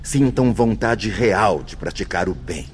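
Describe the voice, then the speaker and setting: A man's voice: on the low side, animated, a little slow, a little hiss or hum. A man with a Brazilian accent acting a courteous, reverent spirit guide in a radio drama, explaining things formally and earnestly. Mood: grave explaining. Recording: music under the voice.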